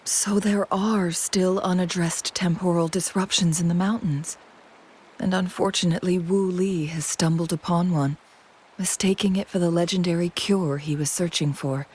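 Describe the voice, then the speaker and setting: Poised, low-voiced, breathy noblewoman. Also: fairly even intonation, a little mellow. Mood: composed, grave. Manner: measured, formal, poised. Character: composed, knowledgeable, elegant noble lady advisor. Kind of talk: video game voice acting.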